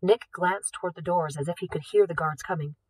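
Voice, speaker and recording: A woman's voice: on the low side, fairly quick, darkish tone, slightly rough, dry recording, far from the mic, low-quality recording. Woman reading fiction, slightly off mic. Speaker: audiobook reader. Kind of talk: expressive scripted fiction narration.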